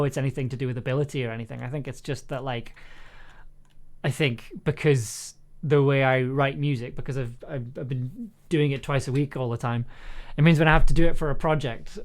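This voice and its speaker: A creative host talking informally on a stream, with a mid-range voice. The delivery is hesitant, with words repeated and restarted, and laughter comes easily between thoughts. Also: mid-range voice. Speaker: audio drama writer and composer, host of livestream. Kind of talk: casual chat on a livestream.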